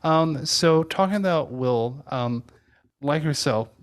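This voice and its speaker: A man's voice: on the high side, slow pace. A man hosting a podcast, talking casually as he interviews a guest.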